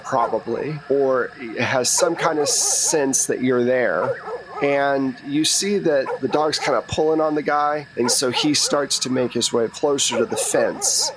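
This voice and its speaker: A man's voice: medium pitch, poor audio. A man narrating a tabletop roleplaying game as game master in an informal, conversational way. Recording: faint background noise.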